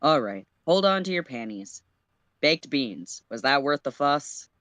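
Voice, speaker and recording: A flippant, wisecracking teenage boy, acted by a man in a story reading. His delivery is very animated in pitch and dodges with slangy teasing. The sound is dry, with no room around it. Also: a little slow, dry recording. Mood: smug.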